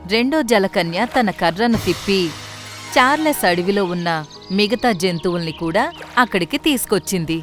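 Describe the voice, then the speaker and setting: A woman narrates a scripted children's story. Her pitch is average for a female voice. Light music plays in the background.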